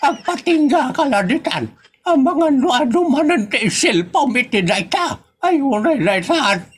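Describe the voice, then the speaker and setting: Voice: sits high, very animated, a little slow, a little hiss or hum. An actor playing a grumbling, impatient older Filipino man with an Ilocano accent in a comedy audio drama. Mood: impatient, irritated.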